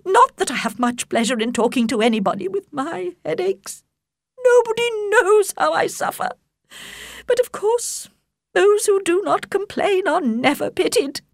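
A woman voicing a shrill, self-pitying middle-aged mother who complains loudly and dramatically. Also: very animated, unhurried, no room sound, studio quality, full character voice. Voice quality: shrill, whining. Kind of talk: audiobook character voice.

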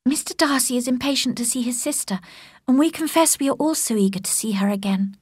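A woman voicing a gentle young woman, a soft-spoken elder sister, in a subdued way. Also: sits high, fairly quick, slightly rough, dry recording, clean studio sound, voice pushed up. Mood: hurt, subdued. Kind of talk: audiobook character voice.

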